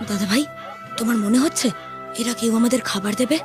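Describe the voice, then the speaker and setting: A woman voicing a playful young cowherd boy in a cartoon, speaking brightly and quickly. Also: on the high side, natural voice. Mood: worried. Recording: music playing behind the voice.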